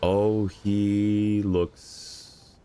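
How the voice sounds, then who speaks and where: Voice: low-pitched, little pitch movement, drawn-out words, mellow, smooth. An easygoing livestreamer chatting casually while reacting to a game and viewers. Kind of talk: casual game stream chat.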